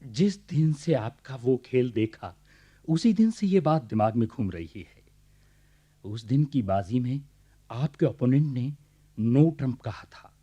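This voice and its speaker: Club president for radio drama: courteous, fussy official, mellow mid voice, halting and deferential. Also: male voice, medium pitch, husky, lo-fi sound. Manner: hesitant, deferential, peppers speech with English. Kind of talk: radio play acting.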